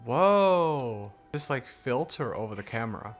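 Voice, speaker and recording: A man doing live gameplay reaction commentary, expressive and exclamatory. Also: mid-range voice, measured pace, soft background music. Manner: expressive, exclamatory, reads game text aloud. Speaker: gaming streamer.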